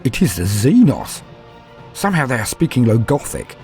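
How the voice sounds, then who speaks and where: A man's voice: mid-range voice, huge pitch swings, darkish tone, soft background music. A man playing a fearful, despairing human soldier, telling his story in grave, theatrical first-person narration. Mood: bewildered dread. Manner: grave, theatrical, deliberate phrasing.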